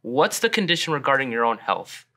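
A man's voice: medium pitch, sing-song, brisk, pushed and bright. A man asking formal, overly earnest questions as an interviewer in a comedy sketch. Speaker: mock interviewer.

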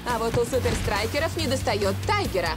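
Woman doing a dry soccer commentator, high voice, cartoon dub, loud music behind. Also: animated, fairly quick, lightly acted. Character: brisk female soccer commentator.

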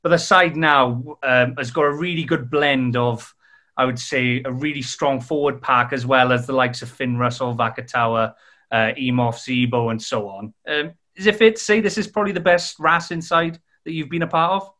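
Young man, podcast host, bright tense tone, chatty rambling questions, video call. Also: medium pitch, steady tone, moderate pace. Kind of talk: interview questions. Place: room at home, indoors.

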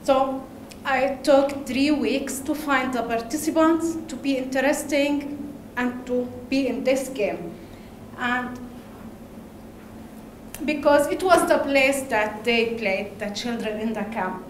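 A woman from Lebanon with an Arabic accent, giving a conference talk in halting English with hesitations and laughs. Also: high voice, slight background noise. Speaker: conference speaker. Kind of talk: presentation talk. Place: large darkened conference hall. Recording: echoey hall, faint ambience.

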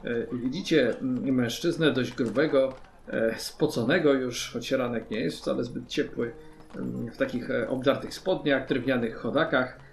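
A man working as game master, improvising descriptive narration for a tabletop fantasy role-playing game in halting phrases. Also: mid-range voice, creaky. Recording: roomy, faint background noise.

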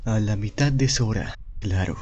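A man with a Latin American Spanish accent voicing a cold, condescending older masked schemer in a video game fan dub, speaking in a measured way. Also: fairly low pitch, a little mellow, muffled recording, a little hiss or hum, close to an everyday voice.

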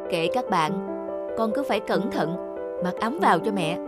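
Woman doing worried overprotective cartoon mom, fussy and scolding, mid pitch, music behind. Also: animated, normal speed, natural voice. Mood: doting, insistent. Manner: fussing, scolding. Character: anxious, overprotective, doting mother of a sickly son. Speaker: cartoon voice actor. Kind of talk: animated moral-tale dubbing. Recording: loud background music.

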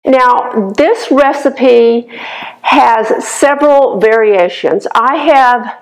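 An older woman with a slightly raspy voice hosts a casual cooking demonstration in her home kitchen, talking the viewer through each step. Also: a little high, extremely slow, rough recording. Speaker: cooking show host.

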